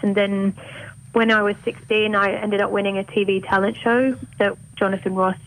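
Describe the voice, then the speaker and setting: A woman's voice: mid-range voice, flat delivery, moderate pace, a little hiss or hum, poor audio. Welsh singer lady, accent kinda faded, chatty and laughy on a radio chat. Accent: mild north Welsh, softened toward English. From Wales. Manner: chatty and open, laughs easily. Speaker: guest singer. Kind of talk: radio interview.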